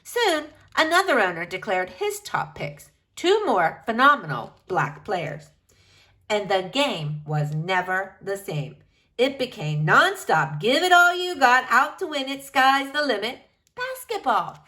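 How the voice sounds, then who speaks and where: A woman reading a children's book aloud in an expressive, emphatic way, drawing out key words for young listeners. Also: on the high side, measured pace.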